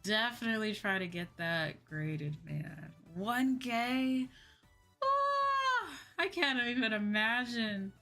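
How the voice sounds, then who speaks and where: A woman's voice: a little high, sing-song, slow, sharp tone. A young woman livestreamer chatting casually in a slangy, exclamatory way, like a VTuber talking to her viewers. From United States. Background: background music.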